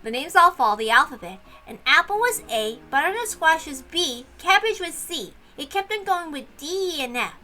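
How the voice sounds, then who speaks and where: A woman voicing an eager, confident little girl in a visual novel read-aloud, earnest and excitable. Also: sits high, normal speed, few pauses, faint music behind, voice pushed up, heavily acted.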